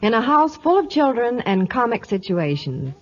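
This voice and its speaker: A woman's voice: a little high, sing-song, normal speed. A woman presenting a scripted 1950s radio advertisement in dialogue style. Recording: muffled old radio broadcast recording.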